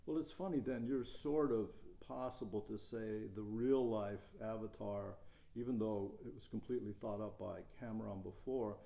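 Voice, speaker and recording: A man's voice: on the low side, moderate pace, gravelly, warm tone. A man hosting an interview, asking brief, friendly questions in a casual way. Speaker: interview host.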